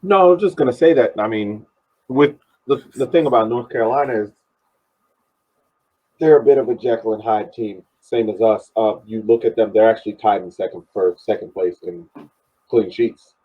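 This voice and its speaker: Man, low slightly rough voice, dull lo-fi audio, measured casual sports analysis. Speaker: podcast panelist.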